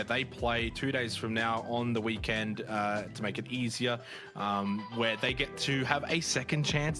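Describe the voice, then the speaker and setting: A man giving conversational, explanatory post-match analysis as an esports commentator. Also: mid-range voice. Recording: music behind the voice. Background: background music.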